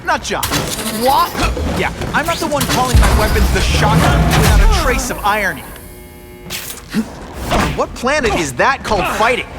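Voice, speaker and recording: Quippy young game superhero, high and animated, cocky teasing, loud music underneath. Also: male voice, normal speed, long pauses. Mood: mocking. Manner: sarcastic, quippy. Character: wisecracking young male superhero, cocky and playful. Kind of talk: video game cutscene acting.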